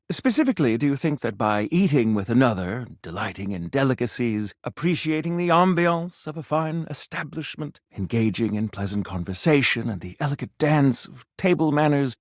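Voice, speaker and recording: An actor playing a pompous, romantic gourmet food critic in a video game, with refined diction and a florid, theatrical way of savoring words. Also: male voice, medium pitch, no echo, clean studio sound. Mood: romantic, earnest. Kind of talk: video game character voice acting.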